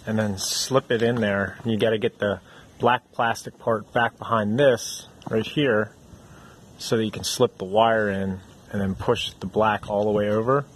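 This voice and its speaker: A man's voice: fairly low pitch, fairly even intonation, normal speed, slight background noise. A man hosting a do-it-yourself channel, walking through a repair step by step in a plainspoken, practical way. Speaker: DIY repair channel host.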